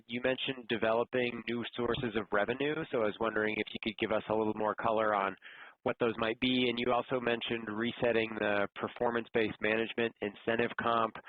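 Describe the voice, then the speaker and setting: A man asking polite, businesslike questions as a financial analyst over the telephone. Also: fairly low pitch. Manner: even, businesslike. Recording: narrow, tinny phone line.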